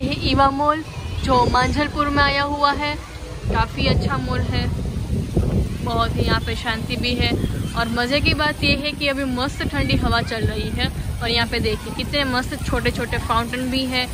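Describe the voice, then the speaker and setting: A woman's voice: high-pitched, level tone, moderate pace. A young woman vlogging on a phone held at arm's length, giving an upbeat, chatty tour of a shopping mall. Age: young adult. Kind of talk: casual mall tour vlog. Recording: loud crowd and noise behind.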